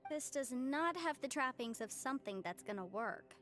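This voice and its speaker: A woman's voice: high voice, lively intonation, normal speed, a bit bright, soft background music. A woman voicing a courteous, articulate young female adviser in a video game. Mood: puzzled. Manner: polite, articulate.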